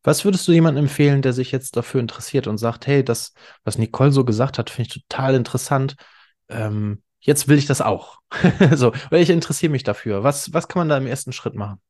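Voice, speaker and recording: Very low-voiced woman interviewing casually. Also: brisk, warm tone. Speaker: podcast host, interviewer. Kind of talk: casual chat.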